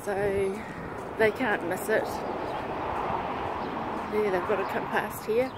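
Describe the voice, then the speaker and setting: A woman's voice: mid-range voice, steady tone, slow pace. A woman chatting casually and matter-of-factly in an outdoor vlog. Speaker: vlogger. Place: outdoors by a suburban road beside a car. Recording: outdoor roadside noise, passing vehicles.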